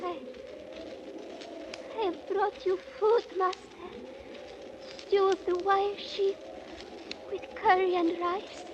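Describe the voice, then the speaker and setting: A woman's voice: very high voice, flat delivery, takes it slowly, pauses often. An actress playing a humble, worshipful young village girl with formal, submissive speech in a 1950s radio drama. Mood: timid, reverent. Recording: dull, faintly noisy 1950s radio recording.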